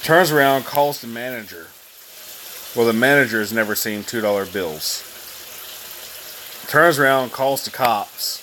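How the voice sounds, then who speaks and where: A man's voice: medium pitch, a little slow, long pauses, sharp tone, slight background noise. A man in his thirties, hosting a casual live chat and telling stories with a joking, teasing manner. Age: 30s. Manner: joking, storytelling banter. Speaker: channel host.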